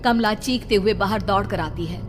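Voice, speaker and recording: A woman's voice: medium pitch, moderate pace, over music. A woman narrating a scripted horror story in a dramatic, suspenseful way.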